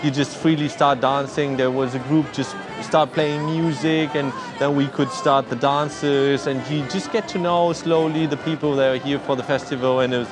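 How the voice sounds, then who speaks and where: A man's voice: mid-range voice, steady tone, moderate pace, hardly any pauses. Man amid crowd and music. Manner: searching for words, repeating. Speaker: festival participant. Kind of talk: festival interview.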